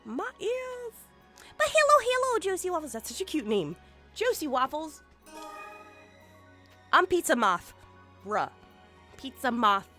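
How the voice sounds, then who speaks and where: A woman's voice: high-pitched, sing-song, measured pace, pauses often, pushed and bright, faint music behind. A woman streaming a game and chatting casually with her viewers, sounding nasal and sniffly from congestion. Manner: bubbly, playful, chatty with viewers.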